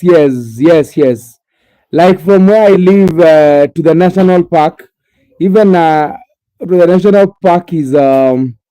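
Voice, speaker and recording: Kenyan man, live streamer, drowsy and repetitive, dark warm tone, fairly high voice, talking to viewers from home. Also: poor audio. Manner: repetitive, drowsy, talks directly to viewers by name. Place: home sitting room.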